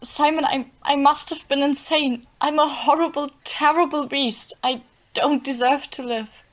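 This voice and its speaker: A woman's voice: high voice, steady tone, measured pace, highs cut off. A woman playing a distraught, remorseful young woman in an audio play. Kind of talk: amateur audio play acting.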